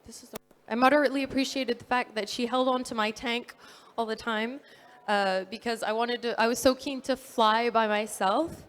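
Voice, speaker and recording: A fifteen-year-old girl from New Caledonia giving an earnest prepared talk at a dive expo, with fillers and small self-corrections. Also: fairly high pitch. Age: teenager, fifteen. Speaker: youth speaker at a dive expo.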